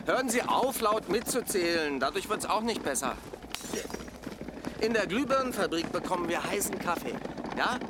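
A man's voice: sits high, animated, normal speed, heavy background noise. An actor playing a bossy, matter-of-fact male maintenance engineer in a German radio drama. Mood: brisk, impatient. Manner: brusque, matter-of-fact, instructing.

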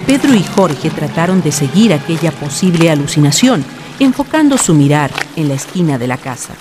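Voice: medium pitch, big pitch swings, moderate pace. A narrator reading a horror story in a formal, ornate literary style. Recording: music behind the voice.

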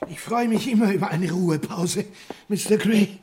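A man's voice: sits high, moderate pace, darkish tone, slight background noise, natural voice. An actor playing a deferential, obliging tradesman in a radio play, with servile politeness. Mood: eager, deferential. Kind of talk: German literary radio play acting.

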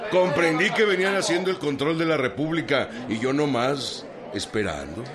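An actor playing a folksy, fervent rural revolutionary general with a Mexican accent in a radio drama, speaking colloquially and emphatically. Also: male voice, on the high side, expressive, moderate pace, lightly acted. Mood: earnest, scornful. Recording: loud crowd behind the voice. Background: crowd.

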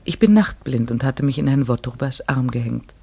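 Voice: mid-range voice, expressive, moderate pace, gravelly, darkish tone, husky. An actor playing an earnest, devoted woman in a radio play, formal and literary in speech and narration. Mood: reflective.

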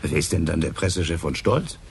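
Dry, sceptical detective, low gravelly voice, musing delivery, radio play with faint noise. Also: male voice, lively intonation, fairly quick, heavily acted. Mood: probing, intent. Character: male provincial police inspector, brooding, sceptical, dry. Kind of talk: naturalistic German radio crime drama acting.

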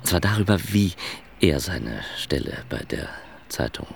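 A disillusioned arts administrator in a radio drama, earnest and easily flustered. The voice is low and gravelly, leaping about in pitch, with halting, rambling delivery. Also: male voice, sing-song, slight background noise, heavily acted. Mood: agitated, confessional. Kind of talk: German radio play acting.

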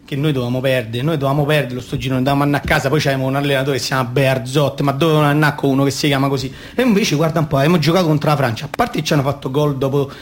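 A man's voice: medium pitch, fairly even intonation, rapid-fire, few pauses, lightly acted. A man playing a naive, football-mad little boy in a comic radio play, rambling and stumbling in a colloquial Roman accent. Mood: eager explaining. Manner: colloquial, stumbling, self-correcting. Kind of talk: comic radio theatre monologue.

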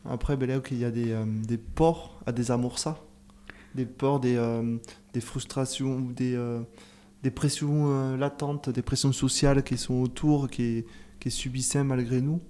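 A man in a casual radio discussion, talking in a hesitant, halting way with many fillers. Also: mid-range voice, fairly even intonation, warm tone. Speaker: radio show guest.

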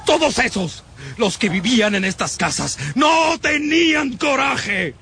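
High-pitched, fanatical, domineering survivor. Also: male voice, expressive, measured pace, creaky, background noise, exaggerated voice. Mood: ranting, contemptuous. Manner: declamatory, menacing, haranguing. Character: fanatical, domineering male survivor, ranting and contemptuous. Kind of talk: radio drama acting.

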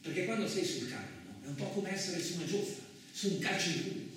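A man's voice: fairly high pitch, very animated, fast talker, a bit bright. A male actor playing a boastful, rambling truck driver with touchy pride in a chatty, self-justifying radio monologue. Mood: wistful pride. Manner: chatty, self-justifying, punctuated with rhetorical asides. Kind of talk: theatrical radio monologue, colloquial storytelling. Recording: very echoey, distant, clearly noisy recording.

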